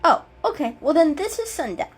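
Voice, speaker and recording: A woman voicing a friendly, bookish female house cat in a storybook reading, with an eager, warm and inquisitive manner. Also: high-pitched, big pitch swings, a little fast, pitched above the performer's own voice.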